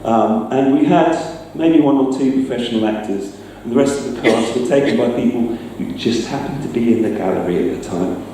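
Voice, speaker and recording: A man presents informally in a chatty, slightly hesitant way. His voice is dark and relaxed. It comes from some distance in a strongly echoing room, with a little background noise. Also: medium pitch, moderate pace, hardly any pauses. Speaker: presenter, sound effects maker. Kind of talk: informal public talk with demonstration. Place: live talk in a reverberant indoor venue. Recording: echoey room, distant mic, faint noise.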